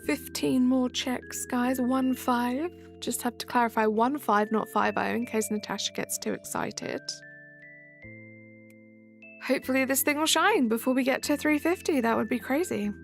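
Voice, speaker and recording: A young woman talks casually into a desk mic as she plays a game live, answering her chat in an easy, friendly way. Her voice sits a little high for a woman. Soft music plays quietly behind her. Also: normal speed, vocal fry. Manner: chatty, reads and answers chat while playing. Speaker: live streamer. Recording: faint background music.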